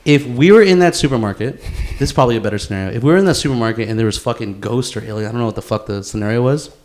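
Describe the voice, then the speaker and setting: A man hosting a podcast in a casual chat between friends, rambling and swearing freely, quick to laugh. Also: medium pitch, a little mellow. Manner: rambling, profane, laughing easily. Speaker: podcast host.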